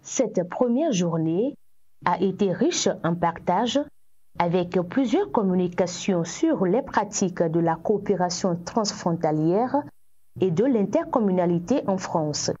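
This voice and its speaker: A woman reporter delivers scripted news narration. Her voice is soft-edged and husky, with a warm, dark tone, and the audio is slightly dull. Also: on the low side, animated, unhurried.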